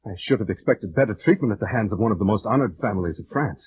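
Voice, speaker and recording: A man's voice: lowish voice, expressive, fast talker, lightly acted. An actor playing a proud, indignant young French nobleman in formal, declamatory old radio drama style. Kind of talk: 1940s-50s radio drama acting. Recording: muffled, hissy old radio recording.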